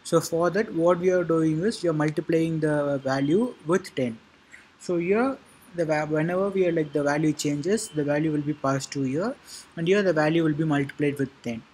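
A man narrating an informal software tutorial in a halting, repetitive way, with a husky voice. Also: on the high side, low-quality recording. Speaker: tutorial presenter.

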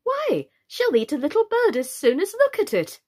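A woman's voice: high voice, sing-song, a little slow, darkish tone, voice pushed up. A woman voicing a polite, eager young girl in a storybook, light and girlish, with an earnest, pleading manner. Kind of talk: children's storybook character reading.

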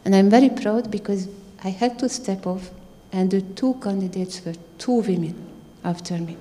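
A low-voiced woman in her fifties speaks on a stage panel through a handheld mic. Her tone is mellow and earnest, and a long hall reverb trails her words. She phrases things haltingly and sounds proud of her work. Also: slight background noise. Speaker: scientist panelist. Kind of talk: panel discussion. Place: theater stage at a panel event.

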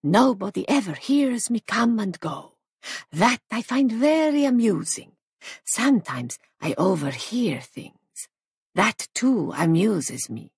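A woman voicing a sly elderly foreign man in a storybook, gleefully courteous, with theatrical, insinuating, drawn-out phrasing. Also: medium pitch, big pitch swings, gravelly, a little mellow, husky, no echo, clean studio sound, exaggerated voice. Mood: sly amusement.

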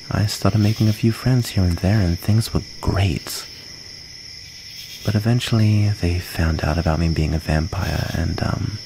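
Man acting as shy young male vampire, gravelly fry, hesitant, gentle, ASMR roleplay, crickets and clear background noise. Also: deep voice. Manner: hesitant, halting, soft-hearted delivery. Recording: noisy, cricket ambience behind.

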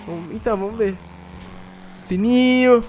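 A man with a high voice who has a cold, so he sounds stuffed up. He talks in loose street slang and breaks into sudden shouts while he plays. Faint music runs under him. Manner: excitable street slang, quick exclamations. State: congested with a cold. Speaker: roleplay streamer. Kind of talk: casual gameplay chat. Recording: music playing behind the voice.

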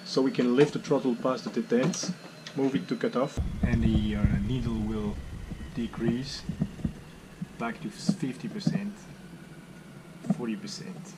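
A middle-aged man with phrasing explains a home-built cockpit calmly and step by step, talking off screen near the camera. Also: medium pitch, measured pace. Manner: calm, step-by-step, non-native phrasing. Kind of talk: hands-on demonstration. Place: home workshop with a simulator cockpit. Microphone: camera mic, speaker off screen. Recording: clear background noise.

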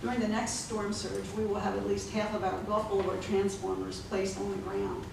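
A middle-aged woman giving a measured, formal public comment she reads from notes at a podium microphone in a city commission chamber. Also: medium pitch, flat delivery, gravelly. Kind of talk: prepared statement read at a podium. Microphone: podium gooseneck mic. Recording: echoey chamber, mic at a distance, noisy.

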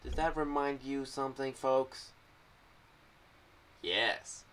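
A young man giving unscripted, rambling commentary in a halting, meandering way, speaking into a boom-arm microphone in a small room at home. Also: steady tone, fairly bright tone. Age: young adult. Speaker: video creator sharing fan theories. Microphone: boom-arm microphone with pop filter, headphones. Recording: clearly noisy home recording.

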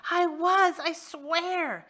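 A woman voicing a sly, boastful male henchman who taunts and wheedles in a raised, brightened voice. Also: medium pitch, unhurried. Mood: terrified.

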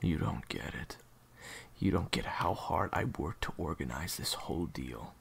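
A man playing a cold, defiant assassin gang leader in an audio roleplay drama, with a husky, gravelly voice. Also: rumbling low, animated, measured pace, full character voice. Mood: bitter, strained.

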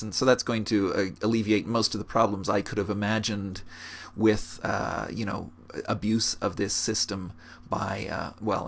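A man with a fairly low voice talks through an on-screen demo. His delivery is relaxed and explanatory, with plenty of small hesitations as he clicks around. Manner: relaxed, explanatory, with many fillers. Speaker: game gold-making guide. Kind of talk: screencast walkthrough.

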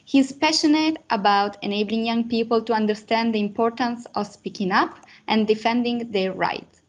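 A woman's voice: a little high, moderate pace. A woman hosting a webinar over a video call, giving a formal, polite, prepared welcome and introduction. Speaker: webinar host. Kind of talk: scripted introduction.

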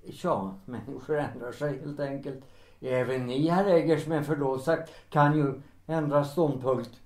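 A man's voice: mid-range voice, steady tone, darkish tone, lightly acted. Man acting a resigned, deferential servant, roomy echo. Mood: hesitant pleading. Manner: formal, guarded, polite. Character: resigned, deferential male servant, quietly bitter. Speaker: actor in an audio drama. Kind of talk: period audio drama acting, 1930s setting. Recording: roomy, echoing sound.

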